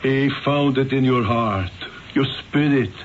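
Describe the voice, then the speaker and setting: An actor in an old-time radio drama playing a jealous, brooding husband, sardonic and menacing, halting and repeating words for emphasis. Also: male voice, mid-range voice, lightly acted. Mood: bitter, accusing. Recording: muffled, hissy old radio broadcast.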